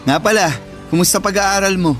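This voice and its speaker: An actor playing a protective, caring older brother in an emotional radio drama, speaking earnestly and emphatically. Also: male voice, high-pitched, fairly even intonation, brisk, fairly bright tone, faint music behind. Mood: caring, concerned. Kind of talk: radio drama acting in Tagalog.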